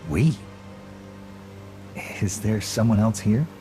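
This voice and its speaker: A man's voice: on the low side, animated, slow pace, mellow, soft background music, low-quality recording. A man playing a resolute, skeptical Jedi knight hero in a video game, firm and direct. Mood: wary, dry. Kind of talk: dramatic video game voice acting.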